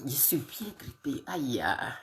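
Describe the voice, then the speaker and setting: A woman who has a bad cold, greeting her viewers one by one on a casual live video and talking in a halting way with many fillers. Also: rumbling low, sing-song, echoey room. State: congested with a cold, sniffly and tired. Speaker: political live streamer.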